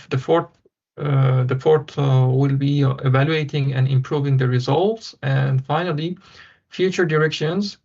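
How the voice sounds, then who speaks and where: Halting man with a gravelly voice. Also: a little high, a little mellow. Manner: halting, many filler ums and uhs. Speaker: webinar host. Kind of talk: hesitant event moderation. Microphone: video call. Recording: dull lo-fi web call audio.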